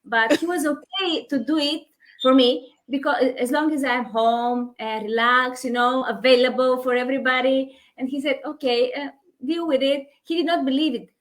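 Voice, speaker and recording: A woman with a Hungarian accent who runs an online business, talking in a halting way with many fillers and restarts. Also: high voice, steady tone. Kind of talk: casual conversation.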